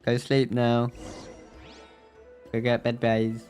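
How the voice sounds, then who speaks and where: A man's voice: mid-range voice, monotone, slow pace, a little mellow, soft background music. A man giving casual, offhand commentary on a game livestream, with muttered asides. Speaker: streamer.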